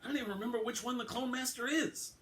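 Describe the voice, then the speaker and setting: A man's voice: very high-pitched, fast talker, sharp tone, pitched above the performer's own voice. A man voicing a newly arrived male detective who answers back with incredulous retorts. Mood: defensive. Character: high-strung male detective, newcomer.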